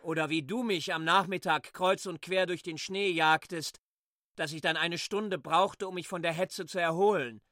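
A man's voice: fairly high pitch, fairly even intonation, normal speed, pushed and bright, dry recording, studio quality. A man playing a complaining, insistent former assistant who is no longer young, in a radio drama. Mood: aggrieved. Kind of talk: literary radio drama acting.

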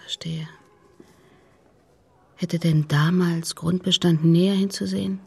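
An actress playing a grieving, guarded middle-aged mother in a radio play, very husky, breathy and creaky, speaking haltingly. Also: lowish voice, steady tone, dark tone, heavily acted. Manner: halting, hesitant.

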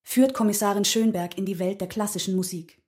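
A woman's voice: mid-range voice, steady tone, fairly quick, darkish tone. A woman reading scripted crime-story material in a dramatic narration style.

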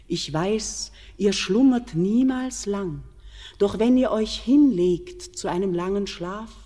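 An actress playing an ancient queen of the mountain, speaking calmly and gently in a classic radio play. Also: medium pitch, takes it slowly. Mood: longing. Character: ancient underground queen of the mountain, calm and longing. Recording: long hall reverb.

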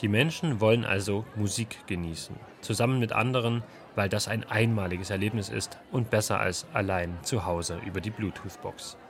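A man's voice: fairly low pitch, steady tone, normal speed, few pauses, slight background noise. A man reporting for a radio culture magazine in scripted narration, reflective and posing rhetorical questions.

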